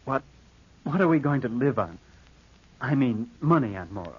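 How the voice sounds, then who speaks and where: An actor playing a spendthrift young nephew in a vintage radio drama, melodramatic and self-pitying, with a scheming streak. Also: male voice, medium pitch, very animated, moderate pace, husky, lo-fi sound. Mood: whining, defensive. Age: young adult. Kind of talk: 1940s radio acting with first-person narration.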